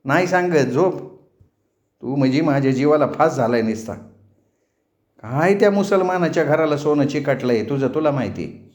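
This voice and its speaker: A man's voice: a little high, animated, moderate pace, pauses often, a little mellow, echoey room, natural voice. A man voicing an exasperated, protective village mother who scolds and fusses, in a storybook reading. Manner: scolding, fussing.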